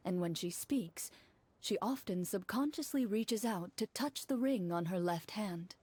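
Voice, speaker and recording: An actress playing a young woman who narrates and runs a tabletop game inside a video game, describing scenes in a measured way. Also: mid-range voice, expressive, darkish tone, slightly rough. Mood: narrating.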